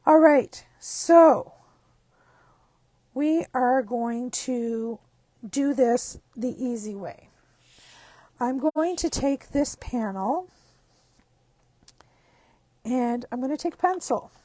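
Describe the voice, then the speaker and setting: A hoarse woman with a croaky voice, chatting upbeat through a card-making tutorial step by step. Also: high-pitched, expressive, extremely slow, long pauses, dark tone, no room sound. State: hoarse, losing her voice. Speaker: craft demonstrator.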